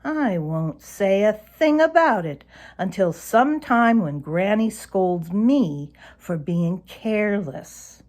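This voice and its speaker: A woman's voice: mid-range voice, expressive, takes it slowly, darkish tone. A woman voicing a boastful, hot-tempered young male fox in a storybook, snappish and indignant. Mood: sly.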